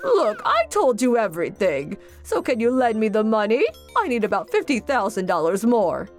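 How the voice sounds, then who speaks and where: A woman's voice: fairly high pitch, sing-song, moderate pace, faint music behind. A woman voicing a brazen, whiny middle-aged sister-in-law in a manga dub, defensive, snippy and demanding. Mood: shameless pleading. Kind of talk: exaggerated manga dub acting.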